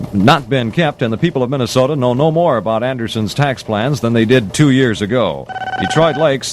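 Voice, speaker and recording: A man's voice: medium pitch, slight background noise. An American man reading a 1960s radio news bulletin with formal, punchy headline delivery, on an old AM aircheck recording.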